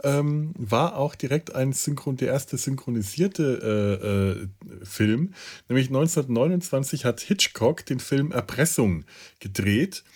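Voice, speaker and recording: Husky guy, medium pitch, chatting through some trivia, kinda halting as he hunts for words. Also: animated, a little mellow. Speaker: podcast co-host. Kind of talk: casual chat, explaining trivia.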